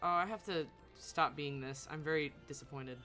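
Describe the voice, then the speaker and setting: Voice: medium pitch, moderate pace, pushed and bright, faint music behind. A game streamer giving casual live commentary, talking to themselves in a playful, sweary way.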